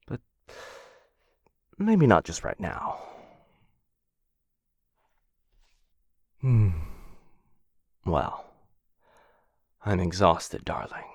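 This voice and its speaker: A man's voice: low-pitched, vocal fry, breathy edge, no room sound, studio quality, full character voice. A man voicing a possessive, doting dragon captor in a boyfriend ASMR fantasy roleplay, theatrical and coaxing, with hesitant musing. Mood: sleepy, doting.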